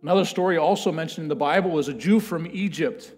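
A man in his thirties delivers a sermon from a church stage, his fairly high voice rising and falling as he tells the story. Faint music sits under his voice. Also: fast talker. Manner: animated storytelling, building to loud chanted quotes. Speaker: pastor. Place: church stage with a lectern, large indoor room.

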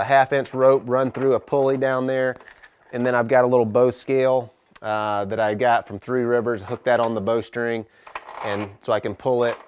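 A man in his thirties talks through his bow-building tools in an unhurried, hands-on way, pausing often on "uh." His mid-range voice comes across smooth and clear. The recording is dry, with almost no room sound around him. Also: medium pitch, dry recording. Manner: relaxed and practical, many "uh"s, trails off quietly at ends of thoughts. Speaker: bowyer giving a shop tour. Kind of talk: casual walkthrough explaining his tools. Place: large workshop with plywood walls, indoors.

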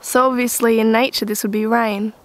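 A woman's voice: a little high, level tone, a little fast, no room sound. A young Australian woman giving casual, enthusiastic wildlife commentary in a gushing, chatty way with informal slang. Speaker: wildlife vlogger.

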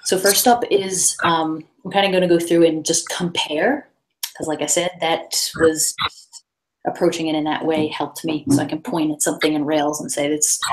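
Woman, low voice, relaxed tech talk, lots of ums and restarts, video call. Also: moderate pace, poor audio. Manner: relaxed, many fillers and restarts. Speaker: meetup presenter. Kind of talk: casual tech talk with slides. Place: presenting over a video call.

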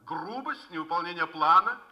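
A man's voice: high-pitched, animated, moderate pace, lo-fi sound. An actor in a Soviet radio drama playing a curt, dismissive male manager with an officious, clipped manner.

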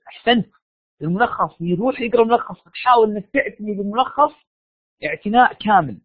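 A Saudi man teaches a live online class in a high, tired voice. He talks fast and informally, repeating himself and pressing for answers. The audio is dull and lo-fi. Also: no room sound. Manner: informal, repeats phrases, prods listeners for answers. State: tired, strained voice from losing it. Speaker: university lecturer. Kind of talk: live online lecture, questioning students.

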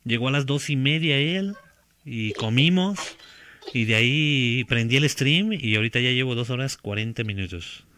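A man's voice: medium pitch, animated, moderate pace, pushed and bright, dry recording. A man in his thirties streaming a game from a small home room on a headset, chatting casually, repeating himself and laughing often. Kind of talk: casual gaming stream chat.

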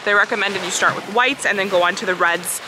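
A woman's voice: mid-range voice, quick pace, noisy background. Chatty young woman vlogging outdoors. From Canada. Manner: bubbly, chatty, lots of "really nice". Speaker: travel vlogger. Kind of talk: casual vlog talk to camera. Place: outdoors by a foggy lakeshore. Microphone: handheld camera at arm's length.

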